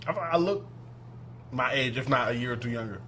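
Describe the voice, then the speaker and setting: A man's voice: mid-range voice, a little fast, slight background noise. A man in his twenties chatting on a podcast, telling stories with lots of laughter. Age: 20s. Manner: animated storytelling, laughs often. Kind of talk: casual podcast chat.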